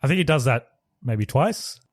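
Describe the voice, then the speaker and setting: A man's voice: medium pitch, animated, normal speed, husky, no room sound, studio quality. A man co-hosting a podcast, chatting casually about films, rambling and hedging with frequent laughs. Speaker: podcast co-host. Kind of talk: casual movie review chat.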